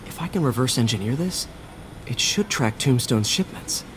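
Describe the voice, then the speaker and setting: A man's voice: medium pitch, lively intonation, normal speed, noisy background, close to an everyday voice. A man playing a young adult American superhero in a video game, wisecracking and earnest, often talking to himself. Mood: musing. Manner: quippy, self-talking. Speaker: game protagonist.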